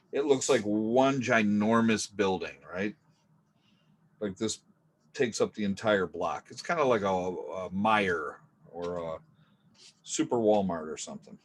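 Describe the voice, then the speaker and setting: A man teaching a drawing class in a relaxed, chatty way, talking through each step and adding jokey asides. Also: fairly low pitch, slight background noise. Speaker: art teacher. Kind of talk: casual drawing lesson.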